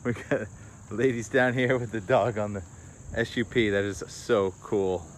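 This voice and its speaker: A man with a fairly low voice narrates a casual outdoor vlog. He muses aloud in short, enthusiastic exclamations about what he sees. Clear ambient noise from the open air runs behind his phone recording. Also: steady tone, unhurried. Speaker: weather vlogger, videographer. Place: outdoors, beach and waterside. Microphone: handheld phone.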